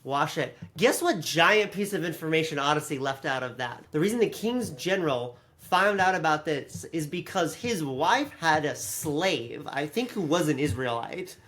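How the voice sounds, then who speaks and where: A podcast co-host in loose, conversational review mode. The delivery is animated and unfiltered, with some swearing and mock-quoting, at a middling pitch. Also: moderate pace, few pauses. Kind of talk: casual review chat.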